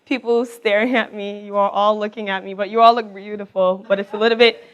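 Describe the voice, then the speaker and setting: A young woman with a raspy voice, giving a personal talk on a mic to a live audience, chatty and quick. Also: medium pitch, steady tone, muffled recording. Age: young adult. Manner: talks fast, warm and chatty, laughs between thoughts. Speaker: alumna speaker. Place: event hall with audience. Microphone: handheld or stage mic.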